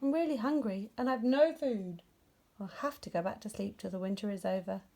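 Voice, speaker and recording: Woman playing a gentle big storybook bear. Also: medium pitch, fairly quick, creaky. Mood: apologetic. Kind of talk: children's storybook character voice.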